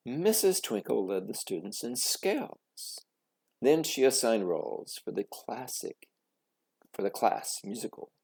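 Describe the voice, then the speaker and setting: A male storyteller reads for children with theatrical flair. His voice is mellow and creaky, with slow, dramatic phrasing and very wide intonation. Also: medium pitch. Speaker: story reader. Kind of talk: expressive children's storybook reading.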